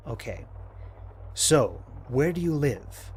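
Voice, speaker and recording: A man playing a wry human astronaut captain in an audio drama, speaking in a dry, measured way. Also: medium pitch, very animated, slight background noise. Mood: calm, friendly. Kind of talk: audio drama narration as log entries.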